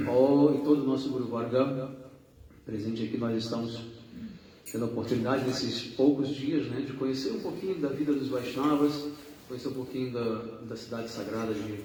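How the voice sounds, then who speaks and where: A man's voice: medium pitch, moderate pace, warm tone, slight background noise. Man, echoey hall, distant mic. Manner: rambling, self-correcting, frequent fillers. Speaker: group leader introducing guest speakers. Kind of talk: informal introduction at a religious gathering.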